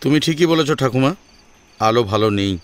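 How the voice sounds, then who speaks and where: A man voicing a caring, earnest young student in an audio drama, gentle and conversational. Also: medium pitch, animated, normal speed, pitched above the performer's own voice, natural voice. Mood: concerned.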